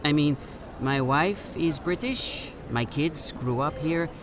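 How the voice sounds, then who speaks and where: A man's voice: a little high, slow. A man in his thirties from Brazil, a native Portuguese speaker, chatting thoughtfully as a street interviewee. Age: 30s. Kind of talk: scripted course-book interview. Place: busy street outdoors. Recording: street interview with clear crowd noise. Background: crowd and traffic.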